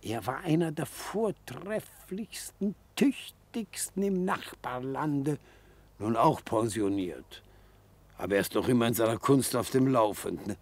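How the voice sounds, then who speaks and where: An actor playing a genial, slyly coaxing old retired defence lawyer in a German radio play, with pompous, florid, old-fashioned phrasing. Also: male voice, medium pitch, lively intonation, measured pace, dry recording. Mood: genial, confiding. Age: older.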